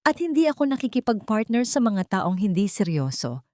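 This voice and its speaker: A cold, glamorous woman out for revenge, as played by a female narrator, speaks in a dry, close recording. She is haughty and measured, with threat in her words, in the style of an audio drama. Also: mid-range voice, sing-song, warm tone, no room sound, pitched above the performer's own voice, natural voice. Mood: stern, threatening.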